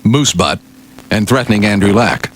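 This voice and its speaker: A man's voice: lowish voice, moderate pace, slight background noise, poor audio. A man working as a radio sidekick, bantering casually in an emphatic, protesting way.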